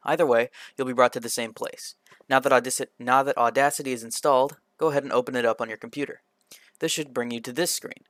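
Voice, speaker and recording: A man presenting a scripted software tutorial in an instructional, step-by-step manner, occasionally stumbling over a word. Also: medium pitch, steady tone, quick pace, no room sound, studio quality. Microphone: computer microphone in a quiet home room, voice-over.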